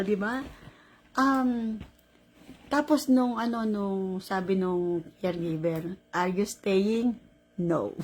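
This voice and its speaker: A Filipino woman in her sixties tells a story in a relaxed vlog, full of laughs and quick asides. Her voice sits a little high and has a husky, breathy edge. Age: 60s. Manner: animated, laughing, mixes Tagalog and English. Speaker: caregiver.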